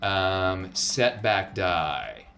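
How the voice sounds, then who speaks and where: A man's voice: sits low, very animated, drawn-out words, gravelly, bright, forceful tone, soft background music. A man working as game master, narrating casually and repeating phrases for emphasis.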